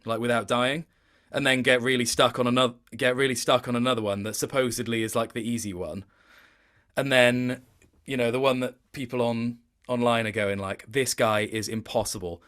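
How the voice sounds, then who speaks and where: A man in relaxed conversation as an interview guest. He feels his way through his thoughts with hesitations, in a mid-pitched voice, and plays himself down a little. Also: moderate pace. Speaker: interview guest, video game voice actor. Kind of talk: casual chat.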